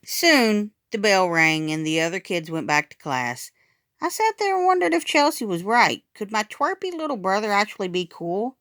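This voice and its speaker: A woman reading a children's story aloud in an animated, expressive way, acting out the dialogue as she goes. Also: mid-range voice, normal speed, dry recording, clean studio sound.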